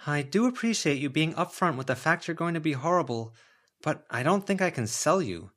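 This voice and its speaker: Guy reading an audiobook woman, unfazed n wry, bit higher pitched, dry delivery. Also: animated, fairly quick, a bit bright. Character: unfazed, dryly wry woman dealing with cursed objects.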